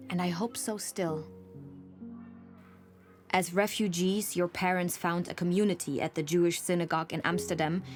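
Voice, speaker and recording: Low-voiced woman narrating over music. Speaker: presenter narrating a documentary. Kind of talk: reflective scripted narration addressed as a letter.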